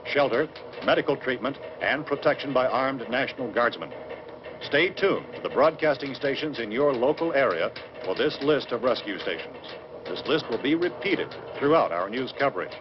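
Noisy, dulled audio from an old film carries a sober American newscaster played by a man. He reads a 1960s bulletin at a medium pitch, formally and with measured authority. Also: close to an everyday voice. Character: grave, sober male TV newscaster reporting a catastrophe. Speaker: television newscaster. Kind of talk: 1960s TV news bulletin, scripted reading. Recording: dull noisy old film soundtrack, television sound.

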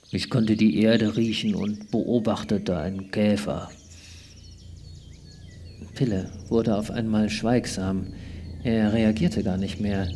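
A middle-aged man narrating a live radio play on stage with expressive, theatrical storytelling into a headset mic. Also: lowish voice, a little hiss or hum.

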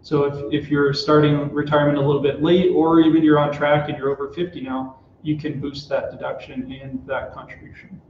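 A man's voice: on the high side, little pitch movement, fairly quick, hardly any pauses, a little hiss or hum. A man in his thirties, a tax expert explaining rules steadily in an office interview, with frequent "uh"s. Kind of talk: explaining tax rules in a live company broadcast. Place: small office room. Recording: roomy, dull office recording.

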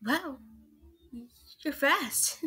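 A woman voicing a caring, motherly young woman in a comfort roleplay. She speaks softly and hushed, drifting into whispers and often repeating words. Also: sits high, lively intonation, very slow, faint music behind, heavily acted. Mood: gentle, tender. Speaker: ASMR roleplay performer.

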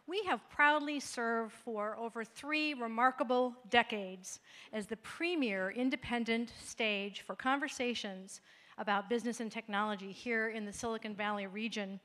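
A woman's voice: on the high side, normal speed, fairly bright tone. Female host, middle-aged, polished and formal, handheld mic, echoing hall. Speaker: event host. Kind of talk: scripted welcome speech. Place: stage in an event hall.

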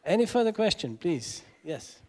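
A middle-aged man moderating a seminar panel off the cuff, halting and deliberate as he searches for words. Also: high-pitched, sing-song, warm tone. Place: lecture hall stage, seated on a panel. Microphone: handheld microphone.

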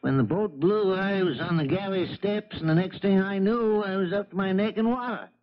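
Guy acting a folksy old charter boat skipper, honest and flustered, highish voice, muffled old radio play. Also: normal speed, natural voice. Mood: indignant, bewildered. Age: older. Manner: folksy, old-fashioned exclamations. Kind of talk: 1970s radio drama acting. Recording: dull vintage radio recording.

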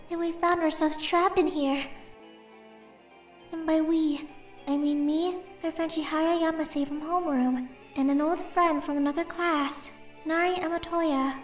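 A woman's voice: high voice, echoey room, natural voice. A woman voicing a sweet, earnest, easily startled schoolgirl in a horror game fan dub, with a girlish voice. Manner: earnest, halting when nervous. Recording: music behind the voice.

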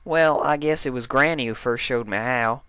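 Man voicing a folksy cowgirl pony, raised pitch. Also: moderate pace, pushed and bright, clean tone, no echo, close to an everyday voice. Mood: bashful, modest. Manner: folksy, modest. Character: easygoing, modest female country cowgirl cartoon pony.

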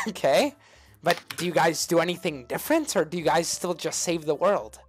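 A woman putting on a giggling, unhinged male clown villain voice, with shrill cackles and sudden shouts, pranking strangers in a voice chat. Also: normal speed, natural voice. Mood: mocking.

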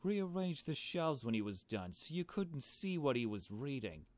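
A man's voice: mid-range voice, very animated, moderate pace, pitched above the performer's own voice, close to an everyday voice. A man plays a blunt young woman from a visual novel. She is distrustful and emphatic, pressing her accusations as a warning to a friend. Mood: insistent.

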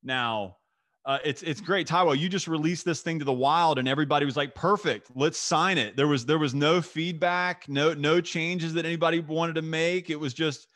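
A man's voice: fairly high pitch, moderate pace, fairly bright tone, no room sound, clean studio sound. A man in his thirties from North Carolina, hosting a video-call interview in an eager, effusive way, often repeating words. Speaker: podcast host. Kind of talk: enthusiastic interview chat. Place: home office with bookshelves. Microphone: studio mic on boom arm, video call.

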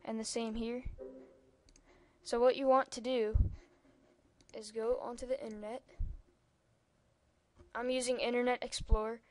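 A woman's voice: on the high side, little pitch movement, a little slow, pauses often. A young-sounding female speaker giving a rambling, casual computer tutorial over a screen recording, with lots of ums and asides. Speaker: tutorial maker.

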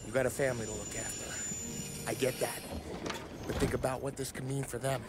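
An actor playing a restless American mobster near forty, profane and world-weary, in a cinematic crime game. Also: male voice, mid-range voice, fairly even intonation, fairly quick, very noisy, close to an everyday voice. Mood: earnest, coaxing.

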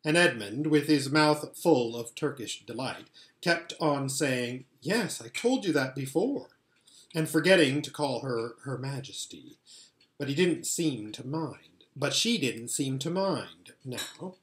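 A man's voice: mid-range voice, unhurried, roomy sound. A middle-aged man reading a children's novel aloud expressively, at home. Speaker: storybook reader. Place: living or dining room at home, indoors.

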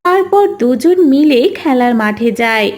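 A woman's voice: high voice, animated, measured pace, a little mellow. A woman narrating a scripted children's story.

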